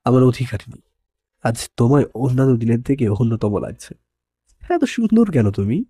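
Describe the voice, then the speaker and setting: A man's voice: medium pitch, animated, normal speed, mellow, slightly rough, no echo, clean studio sound. A man playing a playful, protective young husband in a romantic audio story, mixing in some English words. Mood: casual, affectionate. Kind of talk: dramatized audio story dialogue.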